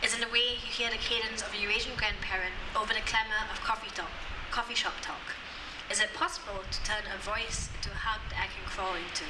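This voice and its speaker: A woman's voice: mid-range voice, steady tone, fast. A young woman from Singapore performing spoken word poetry and chatting about it between poems with many ums. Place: outdoor courtyard. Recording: echoey, distant, noisy outdoor recording.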